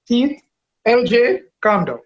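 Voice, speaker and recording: A man's voice: very high-pitched, very animated, takes it slowly, muffled recording, full character voice. An actor playing a reasonable, peacemaking male pastor in a radio drama. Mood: calming.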